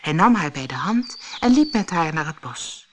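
A woman narrating a scripted fairy tale audiobook for children in an expressive, deliberate way. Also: sits low, a little hiss or hum. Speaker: storyteller.

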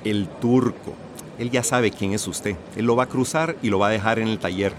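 Low-voiced, coaxing recruiter played by a man. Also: fairly even intonation, moderate pace, noisy background, natural voice. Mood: brisk, persuasive. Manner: coaxing, assured. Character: smooth-talking, persuasive male recruiter, false friend. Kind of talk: radio drama acting.

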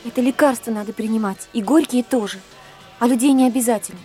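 A woman's voice: fairly high pitch, fast talker, dark tone, faint music behind, natural voice. An actress playing an anxious, devoted woman who is an uneasy guest, in a radio play. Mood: bitter.

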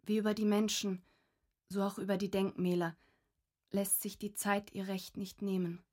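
An actress as a contemplative young diarist, medium-pitched. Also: female voice, flat delivery, moderate pace, a little mellow, natural voice. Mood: quietly contemplative. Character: reflective, gentle young woman reading from her diary. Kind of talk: scripted literary radio reading.

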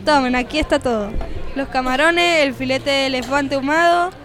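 Woman playing a high-voiced, cheeky waiter. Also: moderate pace, natural voice. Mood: obliging. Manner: argumentative, answering back. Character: defiant, cheeky restaurant waiter arguing with royal customers. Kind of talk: improvised comedy sketch. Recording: music and crowd noise behind.

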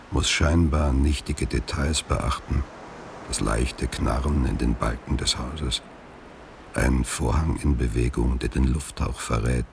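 An actor playing a weary, wary older man in hiding, delivering a measured, reflective monologue in a radio play with a gravelly voice. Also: very deep voice, a little hiss or hum, heavily acted. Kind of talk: radio play narration, letter read as monologue.

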